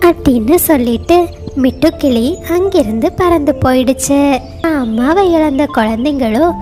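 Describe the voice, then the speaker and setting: A woman narrating a children's cartoon story in a steady storytelling voice. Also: high voice, moderate pace, soft background music.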